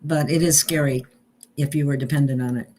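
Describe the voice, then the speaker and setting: A woman's voice: low-pitched, steady tone, a little fast, darkish tone, slight background noise. A woman hosting a casual online chat, talking in a loose, chatty way and laughing often. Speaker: host.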